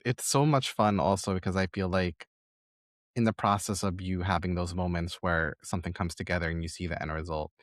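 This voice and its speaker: A man with a very low-pitched voice hosts a podcast interview. He is encouraging and reflective, building long conversational questions. The recording is close and dry, free of echo. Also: fairly bright tone.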